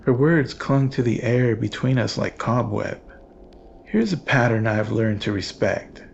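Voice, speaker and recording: Slow, gravelly man narrating horror. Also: medium pitch, highs cut off, slight background noise. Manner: grave, deliberate, ominous. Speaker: narrator and archivist host.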